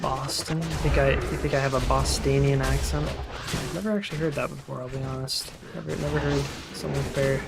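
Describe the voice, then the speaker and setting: A man's voice: medium pitch, level tone, fairly quick, creaky. A man from Utah with a Utah accent, a game streamer chatting casually with viewers, rambling with lots of fillers and restarts. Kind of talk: casual chat with viewers while gaming. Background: game music.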